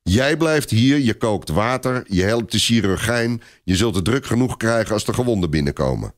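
A man voicing a gruff, vengeful medieval French war commander who speaks in an unyielding, snapping manner in an audiobook. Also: fairly low pitch, lively intonation, normal speed, lightly acted. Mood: stern, commanding.

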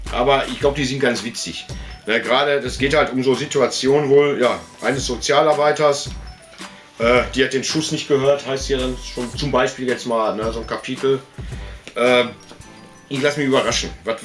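A man's voice: mid-range voice, a bit bright. A middle-aged man giving a casual, rambling show-and-tell as a video host, chatty and colloquial, with frequent tag questions. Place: indoor room full of collectibles.

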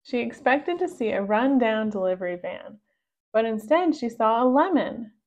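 A woman reading a children's storybook aloud in a measured narrating style for young listeners. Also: on the high side, sing-song, darkish tone. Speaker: storybook reader. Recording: dull, lo-fi sound.

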